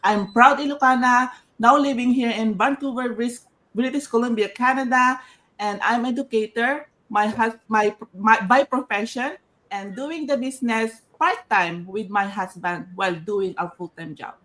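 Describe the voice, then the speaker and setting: An enthusiastic woman greets people warmly and introduces herself as a guest. Her voice sits slightly high. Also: moderate pace, rough recording. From Philippines. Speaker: educator and guest.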